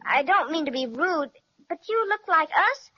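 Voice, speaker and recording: A woman's voice: very high voice, lively intonation, moderate pace, no echo. A woman playing a polite, eager young girl in an old radio serial. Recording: dry muffled 1930s radio recording.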